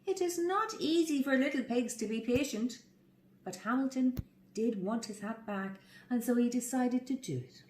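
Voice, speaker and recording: A woman's voice: a little high, moderate pace, darkish tone, echoey room. An Irish woman reading a children's story aloud expressively as a storyteller. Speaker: library storyteller.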